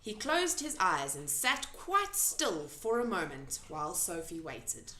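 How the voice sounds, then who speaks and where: A woman reading a children's storybook aloud in an expressive narrating style. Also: lowish voice, a little slow, roomy sound, a little hiss or hum.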